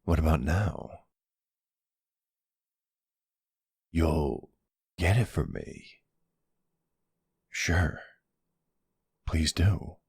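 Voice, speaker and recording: A man playing a soft-spoken, courteous mafia boss in love, in an intimate boyfriend roleplay, with a low, gravelly, hushed voice and a tender, hesitant, earnest manner. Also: drawn-out words, long pauses, no echo, studio quality, exaggerated voice. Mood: tender, playful. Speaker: audio roleplay voice actor.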